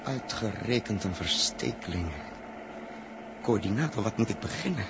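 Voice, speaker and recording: A man's voice: on the low side, expressive, normal speed. A man with a Dutch accent acting a commanding but burdened spaceship captain in a 1960s radio drama. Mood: troubled. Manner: clipped orders, hesitant repetitions when troubled. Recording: noisy old 1960s radio recording.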